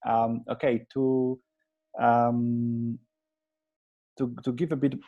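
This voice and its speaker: A man's voice: mid-range voice, level tone, takes it slowly, lo-fi sound. A man in his thirties from Poland with a Polish accent, a guest talking through his career in an earnest, hesitant way with many restarts. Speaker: interview guest, agency founder. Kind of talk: conversational interview.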